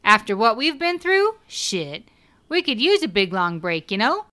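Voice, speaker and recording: A man voicing a high, lively belle. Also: unhurried, clear, resonant tone, exaggerated voice. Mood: breezy, inviting. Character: bubbly, hospitable Southern woman, brassy and reassuring. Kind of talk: audiobook character dialogue.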